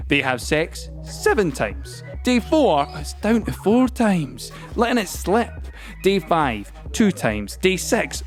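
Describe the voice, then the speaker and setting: A man's voice: high voice, heavily acted. A man performing a playful, nervy storyteller in an audio play, explaining science straight to the listener in a chatty, mock-lecturing way over music. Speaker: actor and narrator in an audio play. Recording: music bed under the voice.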